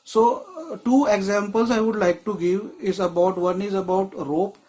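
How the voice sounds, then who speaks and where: A man's voice: high voice, fairly even intonation, moderate pace, a little mellow. A man teaching a screenwriting class on a video call, explaining in a loose, rambling way with many restarts. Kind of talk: live online class.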